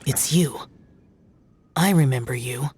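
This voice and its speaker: A man's voice: mid-range voice, takes it slowly, a little mellow, a little hiss or hum, natural voice. Stoic, brooding young immortal warrior, a man acting. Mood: somber, restrained. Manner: aloof, formal, restrained. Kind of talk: anime game voice acting.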